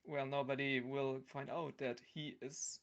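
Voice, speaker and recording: A woman's voice: rumbling low, flat delivery, a little slow, highs cut off. A woman in a casual improvised comic role-play, speaking in a mild, hesitant way.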